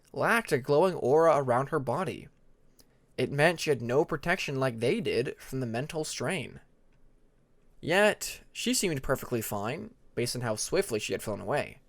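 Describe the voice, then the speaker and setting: A man's voice: mid-range voice, lively intonation, normal speed, dry recording. A man reading a scripted story aloud as a dramatic audiobook narrator. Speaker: audiobook narrator of fan fiction.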